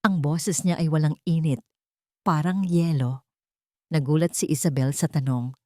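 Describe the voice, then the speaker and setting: A woman reading dramatic scripted story narration in a measured way, with short weighted phrases. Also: low-pitched, steady tone, dark tone, no echo, clean studio sound.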